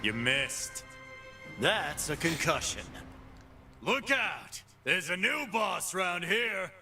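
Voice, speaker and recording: An actor voicing a cocky, brawling male fight-pit boss in game voice lines, full of gruff, boastful trash talk, with game music behind. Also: sits high, big pitch swings, slow, sharp tone, full character voice.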